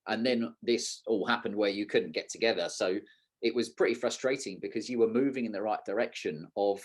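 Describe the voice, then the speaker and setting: A man with a slightly low voice hosts a casual podcast. He speaks informally and rambles conversationally from one thought to the next. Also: a little fast. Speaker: podcast host.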